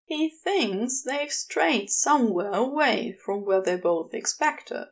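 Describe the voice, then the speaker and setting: A woman narrating a scripted story as an audiobook reader, in a measured delivery. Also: a little high, animated. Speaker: audiobook reader of fan fiction.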